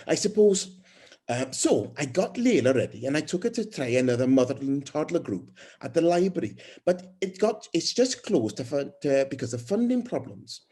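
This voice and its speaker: A man's voice: on the high side, sing-song, normal speed, mellow, slightly rough, voice pushed up. A man voicing a friendly, chatty young mother in an audiobook, rambling and hesitant as a new neighbour. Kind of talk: audiobook character dialogue.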